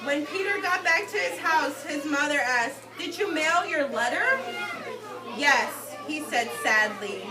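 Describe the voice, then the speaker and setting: Female early-years teacher, high voice, expressive storybook read-aloud with simple explanations, picked up from afar in an echoey classroom. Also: unhurried. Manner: expressive, explanatory, addressing small children. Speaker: teacher reading to young children. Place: indoor classroom with carpet. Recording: echoey room, distant mic, loud children's chatter. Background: loud chatter of young children.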